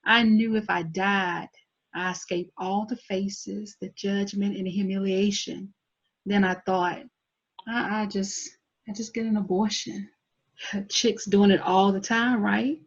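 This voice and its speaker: A woman's voice: mid-range voice, steady tone, a little slow, slightly rough. An actress reading a proud, driven twenties woman, blunt, slangy and defensive, in a TV drama table read. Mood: confessional, upset. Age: young adult. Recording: dull lo-fi recording.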